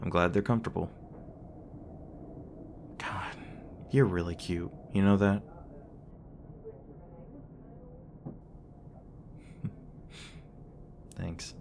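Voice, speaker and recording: A man's voice: low voice, vocal fry, a little mellow, a little hiss or hum, exaggerated voice. A man playing a flustered, tender demon boyfriend in an intimate ASMR roleplay, speaking hesitantly with stammers, bashful and a little defensive. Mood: tender, sleepy. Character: flustered, tender young male demon boyfriend, secretly human-born.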